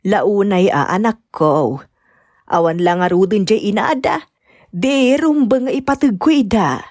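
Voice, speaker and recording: A woman's voice: medium pitch, lively intonation, a little slow, a little mellow, no room sound, clean studio sound. A woman with an Ilocano Filipino accent playing a caring elderly grandmother in an audio drama. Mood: tender, consoling. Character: caring elderly grandmother, mother of a grown son. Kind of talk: amateur radio-style drama acting.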